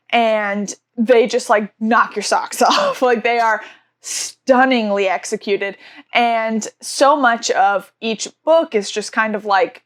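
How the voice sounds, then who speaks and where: A woman's voice: fairly high pitch, fairly bright tone. A young woman reviewing books in a casual vlog, stuffy from allergies, gushing with enthusiasm and often saying "like". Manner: gushing, enthusiastic, frequent "like" fillers. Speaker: book vlogger.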